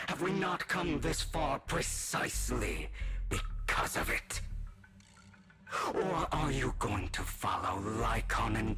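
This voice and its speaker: A sinister inner self that coaxes and menaces in a low, half-whispered voice. He speaks slowly and deliberately, as a game cutscene character. Game music plays clearly behind him. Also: bright, forceful tone, heavily acted. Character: sinister male inner dark self, tempting. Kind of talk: video game cutscene voice acting.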